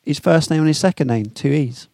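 A man's voice: fairly high pitch, fairly quick, gravelly, a little mellow, no room sound, studio quality. A British man co-hosting a podcast, acting as quizmaster in casual banter with a teasing, sweary manner. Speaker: podcast co-host.

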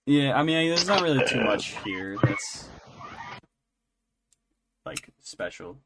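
A man co-hosting a podcast in casual, unscripted recap chat, halting and often restarting his sentences. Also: mid-range voice, sing-song, very noisy. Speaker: podcast co-host.

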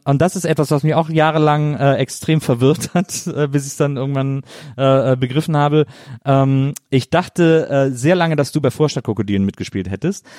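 A man hosting a casual conversational interview, feeling his way through questions with halting, roundabout phrasing. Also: a little high, darkish tone, no room sound, studio quality. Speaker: interviewer, host.

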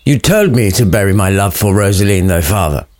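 A man's voice: lowish voice, animated, a little fast, a little mellow, natural voice. A man voicing an earnest, lovestruck young man in a storybook retelling. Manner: earnest, eager.

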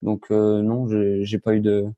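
A man's voice: on the low side, monotone, measured pace, mellow, muffled recording. A teenage man, a young tennis player answering interview questions casually, hesitant with many fillers and restarts. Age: teens.

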